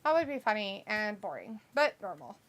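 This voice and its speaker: A woman's voice: mid-range voice, very animated, moderate pace, fairly bright tone. A woman co-hosting a wrestling watch-along, chatting casually, reading viewer comments aloud and often breaking into laughter.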